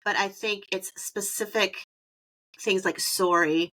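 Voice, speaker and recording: A woman's voice: medium pitch, steady tone, takes it slowly, bright, forceful tone, low-quality recording. A woman from Wisconsin with a Wisconsin accent, hosting a podcast interview in a chatty, playful way. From Wisconsin, United States. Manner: chatty, playful, jokes around. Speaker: podcast host. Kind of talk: casual interview chat.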